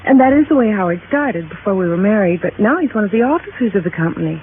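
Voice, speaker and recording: A woman's voice: medium pitch, expressive, fast talker, natural voice. An actress playing a proper, anxious young married woman in a 1950s radio drama, polite and earnest. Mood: bright, fretful. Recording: dull, noisy 1950s radio recording.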